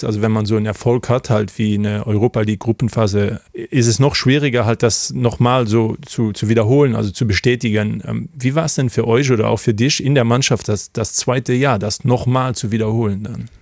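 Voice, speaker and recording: A man's voice: mid-range voice, fairly even intonation, moderate pace, gravelly, few pauses, darkish tone, no echo, clean studio sound. A man hosting an interview, asking hesitant questions with many fillers. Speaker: interviewer, podcast host. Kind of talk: conversational interview.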